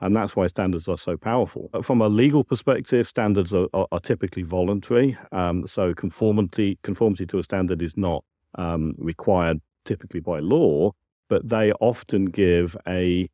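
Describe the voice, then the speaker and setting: A British man gives explanatory answers as an interview guest. His voice is low and relaxed, recorded dry and close. He talks in a measured way, sometimes doubling back on words. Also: no echo. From United Kingdom. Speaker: technical director, interview guest.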